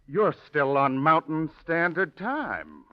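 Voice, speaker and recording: An actor playing a shrewd male investigator who calmly exposes a suspect, in 1940s radio style. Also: a little high, no echo. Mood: accusing. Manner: calm, drawn-out, triumphant accusation. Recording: dry, dull old radio recording.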